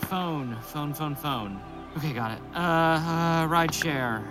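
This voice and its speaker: A dazed, nervous man mutters halting notes on his own trip. His voice is slightly high and very slow, with loud music behind it, in a naturalistic audio drama. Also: rough recording. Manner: halting, muttering fragments to himself. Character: dazed, nervous man tripping on psychedelics, narrating his experiment.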